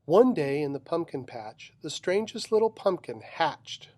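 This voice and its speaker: A man's voice: a little high, sing-song, unhurried, darkish tone, dry recording. A man reading a children's picture book aloud with dramatic, rhythmic delivery of rhyming verse. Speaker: storybook reader.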